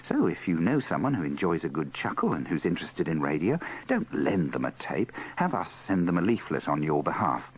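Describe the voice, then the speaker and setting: A man's voice: low-pitched, sing-song, a little fast, hardly any pauses, husky. A man presenting scripted narration with a dry, tongue-in-cheek delivery. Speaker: presenter of a radio bloopers compilation.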